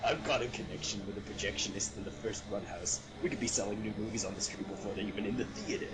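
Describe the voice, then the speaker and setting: A man's voice: lowish voice, quick pace, pitched below the performer's own voice, lightly acted. A man reading a cocky, confident seventeen-year-old boy casually in an amateur radio play. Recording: very noisy home voice-chat recording.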